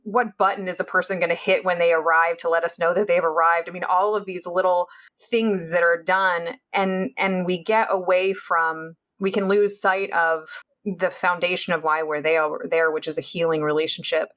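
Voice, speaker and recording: A woman's voice: on the low side, steady tone, normal speed, fairly bright tone. A woman hosting a clinical interview podcast, speaking with enthusiasm and occasional fillers. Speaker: podcast host.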